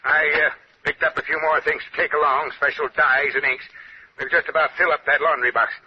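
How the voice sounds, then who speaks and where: An actor playing a crusty, suspicious older man, gruff and jittery, in vintage radio drama style. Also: fairly high pitch, steady tone, a little fast, close to an everyday voice. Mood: jittery, curt. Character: crusty, irritable, suspicious older male traveler under threat. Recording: muffled, noisy old radio recording.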